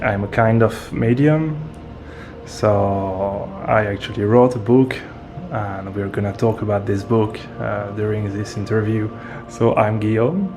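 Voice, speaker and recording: A man from France, a guest speaking in a slow, hesitant way and searching for his words. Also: fairly low pitch. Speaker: interview guest, medium and author. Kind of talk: casual interview talk.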